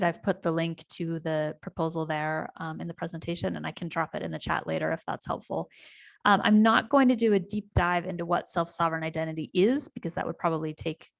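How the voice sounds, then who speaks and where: A middle-aged woman from the United States with an American accent, speaking to a community town hall over a video call. Her voice sits on the low side and carries a gravelly fry. She tells her story easily, with frequent ums and self-corrections. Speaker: community presenter. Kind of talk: online town hall presentation.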